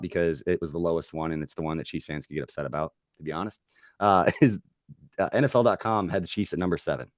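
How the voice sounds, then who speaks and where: A man's voice: low-pitched, no echo, clean studio sound. A man hosting a casual sports podcast, losing his voice and rambling with lots of fillers. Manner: rambling, chatty with filler words. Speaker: host.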